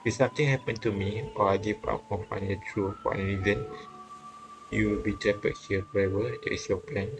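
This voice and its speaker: Low, even-voiced man reading haltingly. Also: poor audio. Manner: stumbles, corrects and repeats phrases. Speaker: reader translating a visual novel aloud. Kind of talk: halting read-aloud narration and translation. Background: game music.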